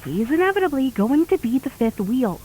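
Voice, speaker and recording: A woman playing a questioning high school girl in an amateur audio drama, with a casual conversational manner. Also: big pitch swings, a little fast, dark tone, a little hiss or hum, rough recording. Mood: skeptical.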